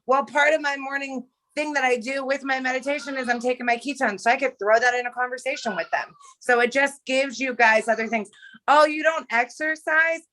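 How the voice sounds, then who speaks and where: An energetic woman leads her team through a casual pep talk, pressing each point with emphasis. Her voice sits fairly high and sounds bright and forceful. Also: a little fast, poor audio. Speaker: team leader, host.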